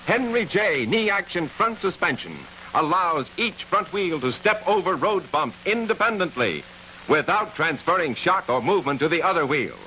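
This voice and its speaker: A man's voice: on the high side, lively intonation, moderate pace, pushed and bright, a little hiss or hum, poor audio. A man narrating a 1950s car commercial in an emphatic, punchy salesman delivery on an old film soundtrack. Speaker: commercial announcer.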